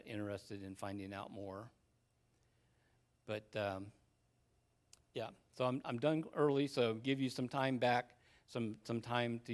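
A man giving an informal technical talk in a hesitant, halting, casual way. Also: lowish voice. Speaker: conference speaker, amateur radio hobbyist. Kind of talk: informal technical presentation, wrapping up. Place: conference room, speaking to an audience.

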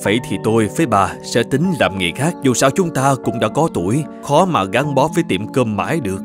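A man voicing a kindly older eatery owner in a cartoon, calm and reassuring in manner. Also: mid-range voice, fairly quick, music in the background, lightly acted. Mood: resigned, calm. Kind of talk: animated moral-tale dubbing.

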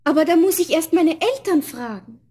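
A woman's voice: very high voice, animated, normal speed, dark tone. An actress playing a kind, modest poor young girl in a fairy-tale audio play, earnest and artless. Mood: hesitant, worried.

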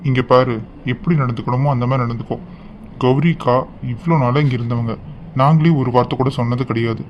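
A stern young husband in a family melodrama acted by a man, speaking quickly. He is reproving and disappointed. The recording is dull, with faint noise behind him. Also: mid-range voice, close to an everyday voice.

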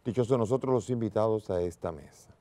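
A man in his sixties, a bishop, leading prayers and speaking to the faithful at Mass in a large church, in a solemn, measured way. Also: on the low side, a loud crowd. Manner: solemn, measured liturgical delivery. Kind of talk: liturgical prayer and homily.

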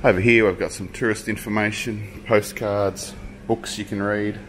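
Travel vlogger in his forties, relaxed listing delivery, low voice, noisy street audio. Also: moderate pace. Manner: relaxed, listing features one by one. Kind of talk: casual walkthrough narration. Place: hostel interior and city street outdoors. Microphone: handheld camera at arm's length. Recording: steady background noise.

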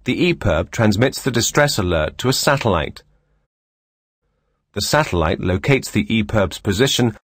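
Dry, low-pitched man narrating instructions. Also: no room sound.

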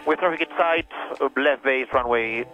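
A man's voice: mid-range voice, moderate pace. A man making pilot calls in clipped radio phraseology over aviation radio. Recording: muffled cockpit radio call, music behind.

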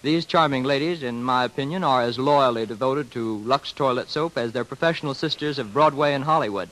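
A man's voice: mid-range voice, fairly quick, fairly bright tone, poor audio. A man speaking as a guest expert in a semi-scripted radio interview from the 1930s, formal, earnest and wordy. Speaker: guest expert on community theater. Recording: dated 1930s radio broadcast recording.

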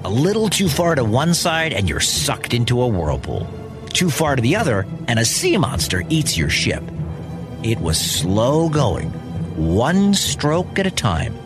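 A man's voice: mid-range voice, huge pitch swings, a little slow. A man telling a scripted children's story as host, in a wry, conversational manner with dramatic emphasis. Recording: music behind the voice. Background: music.

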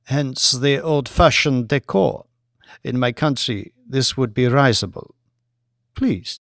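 A man playing a slow, stately Saudi sheikh. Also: medium pitch, fairly bright tone, no room sound, clean studio sound. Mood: probing, formal. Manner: formal, measured, deliberate. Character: wealthy, powerful Saudi sheikh, courteous and subtly menacing.

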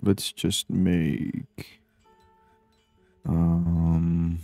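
A man speaking softly and breathily in an ASMR gaming style, murmuring short thoughts aloud close to the microphone. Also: sits low, completely flat delivery, extremely slow, no echo, soft background music. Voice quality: soft, hushed, breathy voice. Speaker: gaming streamer. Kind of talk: soft-spoken ASMR game commentary. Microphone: close binaural microphone.

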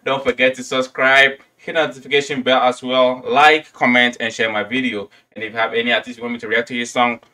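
A young man with a Ghanaian accent, giving animated, slangy commentary as host of a casual music reaction. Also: mid-range voice. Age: young adult. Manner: animated, slangy, repeats lyrics. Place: small furnished room at home. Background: some hip hop music.

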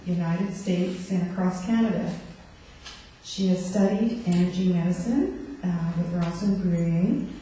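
A soft-spoken middle-aged woman speaks hesitantly at a chapel podium, often pausing on fillers. Her voice is mellow and a bit low, picked up from far off in an echoey hall with faint noise. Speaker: chapel service introducer. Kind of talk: church service introduction. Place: hall stage with curtains. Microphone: podium mic, far camera.

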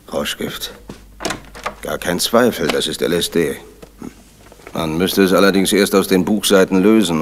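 Affable, deep-voiced doctor friend. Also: male voice, a little hiss or hum. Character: affable male doctor and writer, old friend. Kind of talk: 1970s German crime radio play acting.

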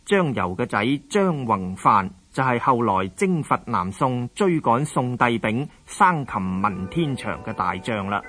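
A male narrator reads a scripted historical account. He has a Hong Kong Cantonese accent and a voice of medium pitch. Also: sing-song, unhurried, few pauses, fairly bright tone, husky, faint music behind.

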